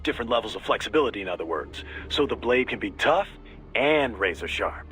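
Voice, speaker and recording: A man's voice: mid-range voice, expressive, brisk, pushed and bright, soft background music. An actor playing an easygoing, tech-savvy male mission advisor in a video game, explaining things casually and keenly. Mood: keen, explanatory. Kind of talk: video game radio briefing voice acting.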